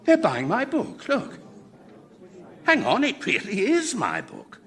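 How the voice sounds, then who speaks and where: An actor playing a bewildered, indignant dead physics professor, querulous and excitable, in a radio comedy. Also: male voice, sits high, slow pace, exaggerated voice. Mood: puzzled, excited. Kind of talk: radio comedy drama acting.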